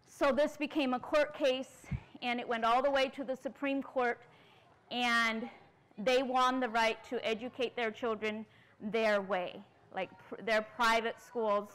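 A woman's voice: fairly high pitch, steady tone, unhurried, a bit bright, rough recording. A woman in her forties from the United States, speaking earnestly to a conference audience in a large tent and asking them questions as she tells her story. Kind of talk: lecture.

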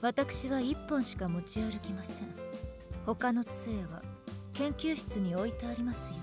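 Female academy teacher, strict, polite, coolly menacing, lively intonation, anime radio drama. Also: a little high, measured pace, over music. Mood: strict, instructive. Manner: polite, precise, scolding.

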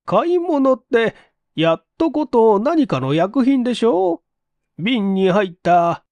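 A man as a high-voiced, chatty landlady. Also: big pitch swings, slow pace, a little mellow, no room sound, dull audio, exaggerated voice. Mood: puzzled, explaining. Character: talkative, matter-of-fact married landlady of a boarding house.

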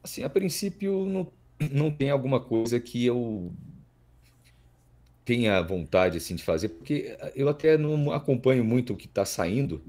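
A man's voice: medium pitch, big pitch swings, moderate pace, mellow. A Brazilian man in his thirties chatting casually as a podcast guest, repeating words as he thinks aloud. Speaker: voice actor guest. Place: small plain-walled room indoors.

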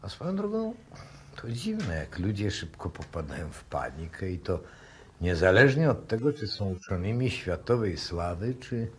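An actor playing a cold, sardonic professor who speaks deliberately and provocatively, in 1960s radio drama style. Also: male voice, low-pitched, sing-song. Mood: sardonic, measured. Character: cold, sardonic male professor, master of the house. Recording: noisy old radio recording.

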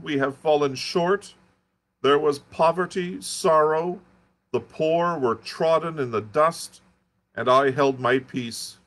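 Guy putting on a frantic half-mad curate, high wailing voice, preachy and loud. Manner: fervent wailing sermon-like raving.

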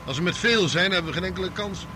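A gruff, aggressive criminal man played by an actor in a Dutch radio play, with a Netherlands accent. His high-pitched voice is coarse, sarcastic and bossy. A clear noisy rumble sits behind it. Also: fast, natural voice. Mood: scheming. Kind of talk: radio drama acting.

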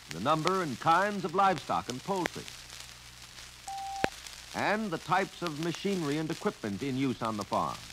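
Male American narrator, 1950 training film, higher-pitched, lively intonation, instructive delivery, crackly old soundtrack. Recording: noisy 1950 filmstrip soundtrack, steady crackle.

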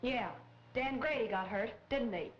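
Woman acting as sassy girl reporter, challenging, highish pitch, 1940s movie, dull soundtrack. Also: measured pace, lightly acted. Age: young adult. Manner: wry, challenging. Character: bold, sassy young woman newspaper reporter. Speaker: actress in a crime film.

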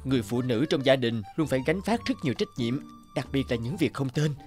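A man's voice: medium pitch, lively intonation, brisk, darkish tone, natural voice. Man acting a tender, wistful suitor. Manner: tender, courteous. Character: attentive, wistful old flame, soft-spoken suitor. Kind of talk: animated cartoon dialogue. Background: background music.

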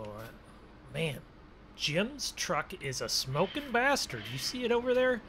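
A man's voice: a little high, bright, forceful tone, a little hiss or hum. A man giving casual, rambling roleplay commentary as a gaming video host, chatty and full of exclamations.

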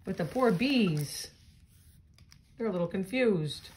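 A woman reading a children's picture book aloud in an expressive, child-directed way, with chatty asides. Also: mid-range voice, slow pace, a little hiss or hum. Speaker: storybook reader.

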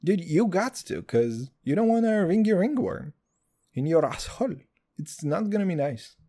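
Guy hosting a comedy podcast, foul-mouthed, keeps saying dude, mellow dark voice. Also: high voice, very animated, normal speed. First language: Hebrew. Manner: crude, loud swings, calls listeners dude. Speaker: podcast host. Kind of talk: comedic solo podcast rant.

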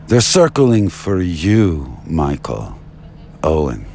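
A man's voice: very deep voice, wildly swooping pitch, takes it slowly, vocal fry, a little mellow, slight background noise. A man delivering a rambling, taunting monologue like a mock phone call, theatrically drawing out and repeating his words.

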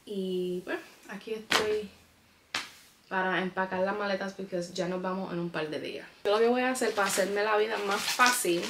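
Bronx-born woman, chatty family vlog at home, mixes in english, faint hiss. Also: medium pitch. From New York, the Bronx. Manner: chatty, mixes English words into her Spanish. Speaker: family vlogger. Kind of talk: casual vlog chat to camera. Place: at home, packing a suitcase.

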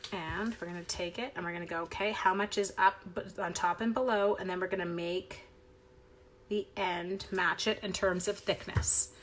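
A woman's voice: on the low side, fairly even intonation, moderate pace, a bit bright, slight background noise. A middle-aged woman from Canada, chatty and upbeat, leading a live card-making tutorial at her craft desk while she talks with viewers.

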